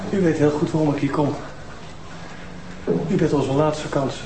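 A man's voice: fairly high pitch, fairly even intonation, moderate pace, background noise, natural voice. An actor playing a desperate father who pleads urgently for his sick child. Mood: pleading. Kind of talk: TV crime drama acting.

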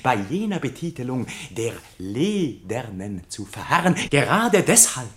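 An actor playing a pompous, long-winded chairman of a literary society in a German radio play, speaking in a deliberate, oratorical way. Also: mid-range voice, very animated, a bit bright. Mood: pompous, declaiming. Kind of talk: German radio play acting, mock-solemn speech.

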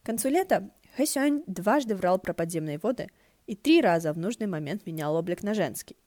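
A woman reading fan fiction aloud as an audiobook narrator, in an expressive storytelling style. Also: mid-range voice, a little fast, no echo, clean studio sound.

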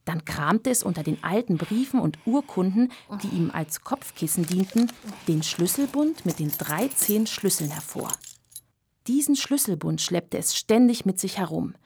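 A woman's voice: mid-range voice, expressive, moderate pace, creaky, darkish tone, slight background noise. A woman narrator reading a scripted children's story in a radio play.

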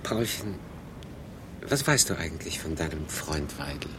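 An actor playing a wry, guarded refugee telling his story in a radio drama, in measured, laconic phrases. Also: a bass voice, animated, pushed and bright, a little hiss or hum, exaggerated voice. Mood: probing, guarded. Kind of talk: radio drama narration and dialogue.

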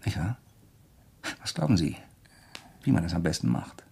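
An actor playing a polite, coolly probing male writer who deliberately provokes, in a German crime radio play. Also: low-pitched, sing-song, vocal fry, warm tone, slightly rough, heavily acted. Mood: insinuating, intense.

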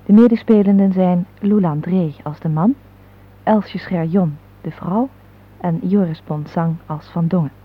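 A woman's voice: on the low side, measured pace, breathy edge. A woman radio announcer reading a formal scripted introduction to a broadcast. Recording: thin, phone-like old radio recording.

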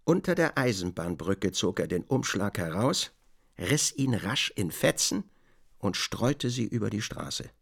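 A man narrating a literary radio drama from a script in a measured, deliberate manner. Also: fairly low pitch, big pitch swings, creaky, husky.

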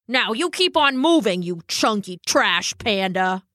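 A woman voicing a grumpy, greedy old male dog who talks in a gruff, growling way. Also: mid-range voice, big pitch swings, unhurried, a bit bright, no echo, studio quality.

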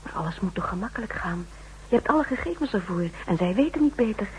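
A woman playing a soft-spoken, reassuring confidante in an old radio play. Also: mid-range voice, expressive, quick pace, lightly acted. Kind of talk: 1960s Dutch radio play acting. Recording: noisy old radio drama recording.

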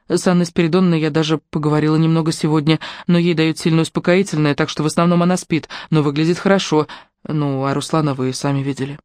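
A narrator voicing a shy but bold young woman who speaks Russian with a Ukrainian accent, in a lively, folksy manner. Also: on the low side, no echo, lightly acted.

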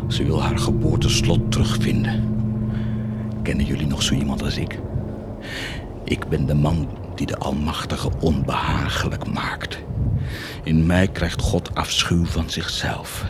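An actor playing a proud, cruel, blasphemous warlord in a theatrical radio drama, declaiming and mocking. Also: male voice, very deep voice, level tone, measured pace, heavy background noise. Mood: hushed pride. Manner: declamatory, mocking, swinging from hushed to shouted.

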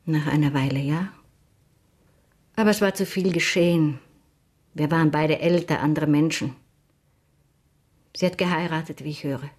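An actress playing a proud, middle-aged woman confiding about a bitter lost friendship in a radio drama, with a husky voice. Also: low-pitched, moderate pace, pauses often, a little mellow. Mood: resigned, wistful.

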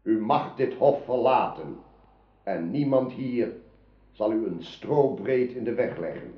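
A man's voice: lowish voice, sing-song, takes it slowly. An actor playing a magnanimous young king in a radio drama, speaking with solemn, formal dignity. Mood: solemn, merciful. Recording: roomy, dull archived radio play.

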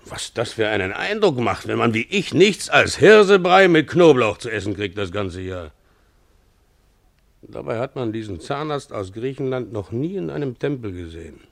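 A man's voice: mid-range voice, big pitch swings, moderate pace, vocal fry, no room sound. A man playing a resentful, pious, poor donkey driver in a German radio play, grumbling with sanctimonious grievance. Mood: resentful, disdainful. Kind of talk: German radio play, character monologue and dialogue.

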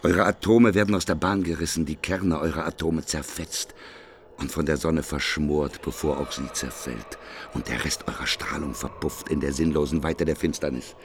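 A weary prophet of doom with a very low voice. He is bitter and sardonic, delivering his lines with the declamatory weight of a radio drama. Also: fairly even intonation, moderate pace, noisy background. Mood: resigned, brooding. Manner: sardonic muttering rising to declamatory proclamation. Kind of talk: German radio play acting.

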